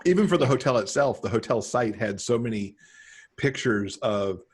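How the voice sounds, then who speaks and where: A middle-aged man with a husky voice, chatting casually as a guest author on a video call. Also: medium pitch, lively intonation, normal speed. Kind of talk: casual interview chat. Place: indoor room, on a video call.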